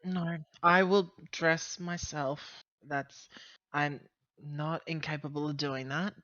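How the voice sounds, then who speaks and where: A man's voice: fairly high pitch, fairly even intonation, takes it slowly, bright, forceful tone, muffled recording. A man voicing a grumpy, commanding corporate woman in a tabletop game, speaking in a blunt, impatient, clipped manner. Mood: curt, commanding.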